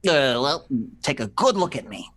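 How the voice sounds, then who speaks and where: A man's voice: on the high side, very animated, moderate pace, a bit bright. A man playing a flustered romantic on the run in an old-fashioned radio play, stammering and pleading. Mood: flustered, insistent.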